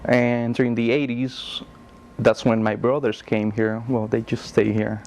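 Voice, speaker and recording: A man recounts his past in an oral history interview. His delivery is measured and level, with little rise and fall. Faint ambient noise sits behind him. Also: medium pitch, flat delivery, darkish tone. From Mexico. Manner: measured, with pauses and fillers.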